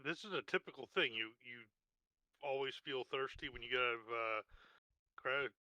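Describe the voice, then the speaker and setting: A man's voice: mid-range voice, moderate pace, sharp tone, no room sound, lo-fi sound. A man chatting casually as a player in a tabletop roleplaying game.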